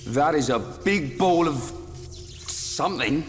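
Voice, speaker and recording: An actor playing a brash, blunt young fighter in a game, informal and defensive. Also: male voice, on the high side, animated, slow pace. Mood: cocky, surprised. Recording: reverberant hall, faint music.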